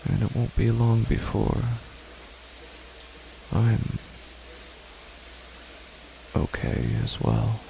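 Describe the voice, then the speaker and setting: A man voicing a grieving parent alone in a dark, silent house, speaking in a slow, hushed, dreamlike monologue for a horror story. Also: on the low side, big pitch swings, gravelly, slight background noise, voice pushed up, full character voice, poor audio. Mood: eerie, hushed. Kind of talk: first-person horror narration.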